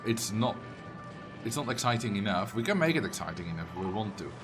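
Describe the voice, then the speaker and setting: A man's voice: lowish voice, very animated, a little fast. Chatty Dutch-accented man streaming. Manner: chatty, rambling, answers viewers' messages. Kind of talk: casual livestream chat while playing a game. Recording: game music playing behind.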